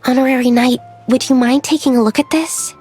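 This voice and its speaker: A bright, high voice for a young knight, polite and careful. She asks her questions in a measured, concerned way during the game scene. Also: fairly even intonation, breathy edge, faint music behind. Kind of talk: video game cutscene dialogue.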